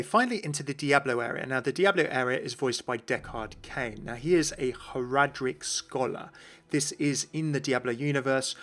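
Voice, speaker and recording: A man's voice: mid-range voice, a bit bright. A man narrating a video as a game commentator, explaining things in an informal way.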